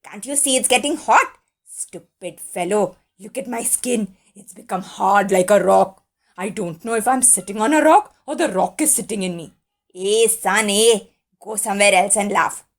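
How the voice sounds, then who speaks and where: A woman voicing a cranky female crocodile who complains loudly and insults the sun. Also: mid-range voice, moderate pace, natural voice. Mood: annoyed. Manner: loud scolding, name-calling.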